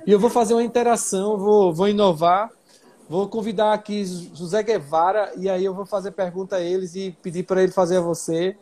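A man's voice: high voice, fairly even intonation, fairly quick, dark tone. A man hosting a live stream, introducing guests and asking questions with enthusiasm. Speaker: livestream host.

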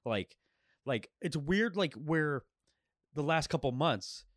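A man hosting a podcast in casual chat, speaking candidly and reflectively, with halting restarts. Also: fairly high pitch, lively intonation, fairly bright tone, dry recording, studio quality. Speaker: podcast host.

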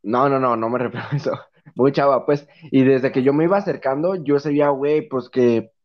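A man's voice: medium pitch, a little fast, lo-fi sound. A young man with a Mexican accent chatting casually as a podcast co-host, slangy, profane and playful. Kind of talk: casual joking chat among friends.

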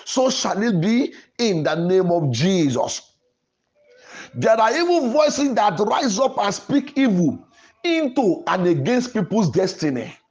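A man's voice: high-pitched, big pitch swings, slow pace, a little mellow. A man, a pastor, preaching and praying in an emphatic, declamatory way with repeated phrasing. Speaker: pastor, programme host. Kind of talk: Pentecostal sermon and prayer. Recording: music playing behind the voice. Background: music.

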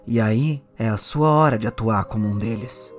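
A man's voice: lowish voice, moderate pace, faint music behind, lightly acted. A man playing a persuasive restaurant owner, explaining in a coaxing way in an audio drama. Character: upbeat, persuasive restaurant owner briefing a worker.

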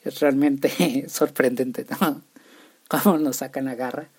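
A man's voice: medium pitch, fairly even intonation, slow, darkish tone, husky. A young man from Chihuahua, Mexico, with a northern Mexican accent, hosting a casual solo show and often stumbling over his words and restarting. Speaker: podcast host.

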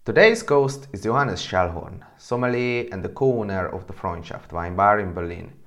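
A man's voice: fairly low pitch, animated, moderate pace. A man hosting a podcast as a sommelier, moving between scripted introduction and casual interview talk.

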